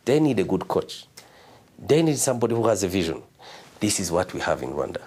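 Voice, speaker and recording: A man's voice: low-pitched, sing-song, brisk, darkish tone, husky. A middle-aged man answering interview questions emphatically in an indoor room.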